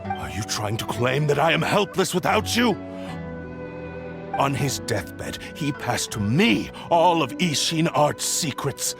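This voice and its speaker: Evil sword spirit for a game, arrogant n desperate, theatrical, real slow and animated, bit of music under it. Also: male voice, fairly high pitch, gravelly, exaggerated voice. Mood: scornful. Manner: grandiose, menacing, theatrical delivery. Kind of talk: video game character acting.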